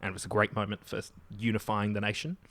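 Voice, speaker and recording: A man's voice: fairly low pitch, little pitch movement, fast, fairly bright tone. A man chatting casually and comically as a podcast guest, teasing and sarcastic with mock-grandiose turns.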